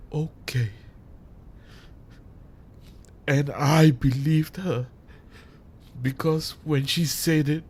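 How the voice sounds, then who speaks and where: A man playing a grieving, vengeful villain in an audio drama, with menacing, deliberate delivery and theatrical pauses. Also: a little high, a little hiss or hum. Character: grieving, vengeful male villain hijacking a radio broadcast. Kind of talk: dramatic audio roleplay monologue.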